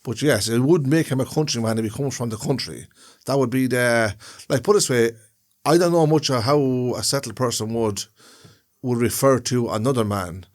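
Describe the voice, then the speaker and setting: Man, podcast co-host, casual chat, rambling, repeats himself, middle pitch, indoor room. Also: normal speed. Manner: rambling, repeats and restarts phrases while explaining.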